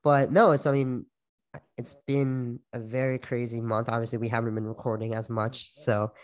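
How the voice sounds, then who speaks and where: A man's voice: medium pitch, little pitch movement, moderate pace. Young man, playful and teasing. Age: young adult. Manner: playful teasing, laughs often. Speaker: podcast co-host. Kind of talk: casual chat. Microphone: video call.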